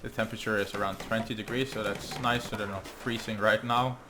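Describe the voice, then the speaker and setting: A young man with a Norwegian accent, English not his first language, casually talking through his gameplay and thinking aloud with frequent ums and pauses. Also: on the low side, fairly even intonation, background noise. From Norway. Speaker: gaming channel host. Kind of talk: let's play commentary.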